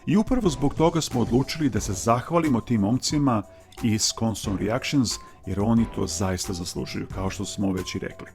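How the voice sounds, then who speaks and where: A man narrating his channel's video in an earnest, measured, formal voice-over. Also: on the low side, gravelly, a little mellow. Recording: faint music underneath.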